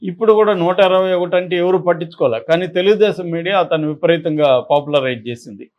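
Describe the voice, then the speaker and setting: Man, slightly high pitch, political commentary, unscripted, explanatory, emphatic, repetitive. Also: fast talker, highs cut off. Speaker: political analyst on a news discussion channel.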